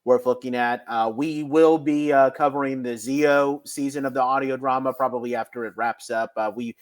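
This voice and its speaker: A man's voice: medium pitch, fairly even intonation, moderate pace. A man hosting a podcast in a casual, conversational style. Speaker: podcast host.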